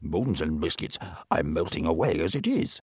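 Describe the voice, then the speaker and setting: A man's voice: a bass voice, sing-song, normal speed, a little mellow, breathy edge, no room sound, studio quality, exaggerated voice. A man voicing a sulky, dramatic male toy in a storybook, grumbling. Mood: grumpy.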